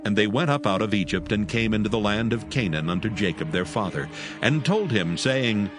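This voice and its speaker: Guy narrating bible text, lowish voice, formal storytelling read, music behind. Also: lively intonation, brisk. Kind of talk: scripted scripture narration.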